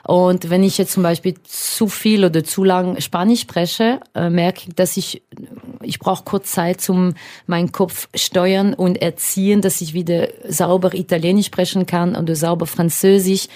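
A woman with a fairly low voice speaks German fluently with a clear French accent, now and then slipping on grammar and laughing easily. She is a singer who is a guest in a radio studio, answering the hosts' questions. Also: level tone, unhurried, warm tone. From Alsace, France. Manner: fluent but non-native German with small grammar slips, laughs easily. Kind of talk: radio interview.

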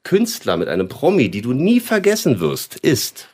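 A man co-hosts a relaxed chat, his voice moving lively up and down. He talks in a playful, chatty way, often joking with his guest. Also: medium pitch, normal speed. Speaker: co-host. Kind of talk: casual chat.

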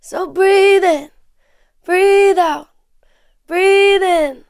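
A woman singer performing a pop song, gentle and slow. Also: very high voice, no room sound, studio quality.

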